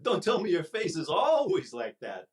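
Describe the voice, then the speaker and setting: A man's voice: fairly high pitch, huge pitch swings, normal speed. A man playing a brash, blunt, heckling sidekick in a 1930s radio comedy. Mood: cheeky, heckling. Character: brash, dim, teasing male vaudeville sidekick, Chico.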